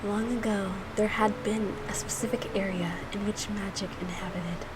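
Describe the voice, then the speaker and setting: Solemn visual novel narrator, played by a woman, measured fantasy storytelling, mid pitch, noisy recording. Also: fairly even intonation, lightly acted. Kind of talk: scripted narration read aloud. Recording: clearly noisy room recording.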